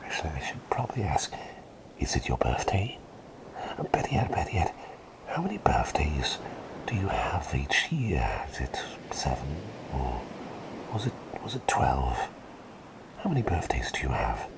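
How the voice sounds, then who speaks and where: A man voicing a gentle, whimsical plague doctor caretaker in an ASMR roleplay, whispering softly and breathily in halting, reassuring repeated phrases. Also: rumbling low, wildly swooping pitch, vocal fry, very noisy, exaggerated voice. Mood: whimsical, welcoming.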